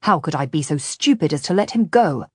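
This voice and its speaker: A woman's voice: deep voice, animated, a little fast, slightly rough, no room sound, clean studio sound. A woman reading a storybook, voicing a shaken young man who blames himself. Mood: self-reproaching. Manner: self-reproaching, shaky.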